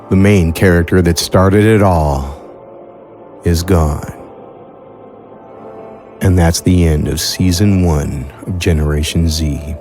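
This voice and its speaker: A man reading a scripted audio drama outro in a deliberate, solemn way. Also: deep voice, mellow. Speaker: audio drama creator, announcer.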